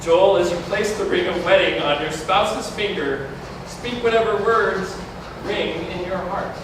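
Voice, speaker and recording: A man playing a stately wedding officiant, high voice, slow and formal, distant mic, very echoey hall, background noise. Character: stately male wedding officiant leading the rites. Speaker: actor reading the officiant. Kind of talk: staged reading of a ceremonial verse masque. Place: large indoor community hall.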